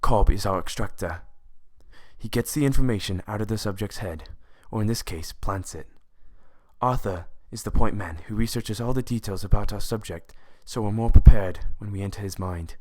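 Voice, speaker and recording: Give the voice of a confident forger in a fan audio drama acted by a man. He sits fairly low and explains things with calm, knowing assurance, like a mentor briefing a novice. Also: normal speed, natural voice. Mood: explaining.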